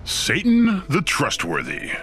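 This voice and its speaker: A man's voice: on the high side, huge pitch swings, slow, a bit bright, faint music behind, heavily acted. An actor playing Satan, a smug, sardonic and theatrical ruler of Hell who toys with his listeners in an audio drama. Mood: gleeful. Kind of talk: scripted audio drama acting.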